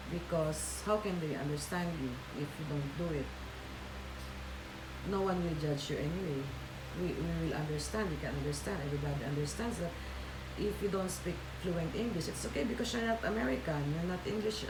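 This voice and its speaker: Filipina woman, low voice, echoey room. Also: noisy background. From Philippines. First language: Tagalog. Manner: chatty, reads out viewer comments, many fillers and restarts. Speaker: livestream host. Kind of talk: casual livestream chat with viewers.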